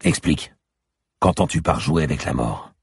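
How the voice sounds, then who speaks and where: Guy reading as a tough sarcastic cop, super deep husky voice, menacing, crime audiobook. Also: animated, normal speed, dry recording, studio quality, full character voice. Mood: hard, insistent. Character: hard-boiled, threatening male police commissioner.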